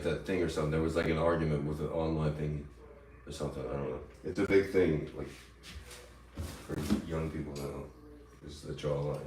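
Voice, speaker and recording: A man with a lowish voice rants into his livestream, cursing and taunting his critics and slipping into shrill, mocking impressions of them. He sounds far from the mic in a strongly echoing room, so the words ring off the walls. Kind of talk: angry livestream rant.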